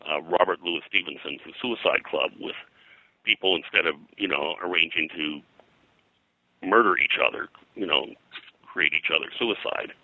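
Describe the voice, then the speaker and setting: A deep-voiced older man with a heavy creak and a very sharp, forceful edge. He talks as a historian in an interview, rambling through anecdotes and halting often as he goes. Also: no echo, rough recording. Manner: halting, rambling, frequently throat-clearing. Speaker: pop culture historian being interviewed. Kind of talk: anecdotal interview talk about old-time radio and pulps.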